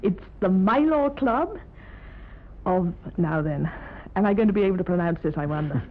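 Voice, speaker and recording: A woman's voice: on the low side, lively intonation, moderate pace. Posh lady judge chatting on fifties radio, muffled narrow sound, emphatic. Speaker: competition judge. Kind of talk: unscripted radio discussion. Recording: muffled, band-limited 1950s radio broadcast.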